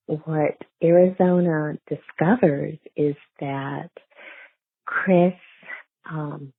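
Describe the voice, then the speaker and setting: Low, husky woman on a phone-like line. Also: dry recording. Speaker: author being interviewed. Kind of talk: casual conversational interview, explaining characters. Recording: narrow phone-like, muffled dry audio.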